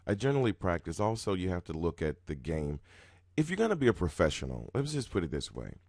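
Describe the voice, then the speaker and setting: Deep-voiced male host, groggy morning voice, rambling with restarts, dry close sound. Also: big pitch swings, fast, no room sound. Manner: rambling, repetitive, many restarts, sudden jumps in pitch and loudness. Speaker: roulette strategy video host. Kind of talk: casual talking-head explanation.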